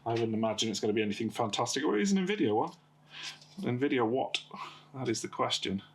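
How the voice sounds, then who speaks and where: Male host, croaky from a cold, hesitant workshop chatter, noisy home recording. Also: mid-range voice, sing-song, fast. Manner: hesitant, many uh and um fillers. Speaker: host of a PC repair channel. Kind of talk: casual hands-on repair talk.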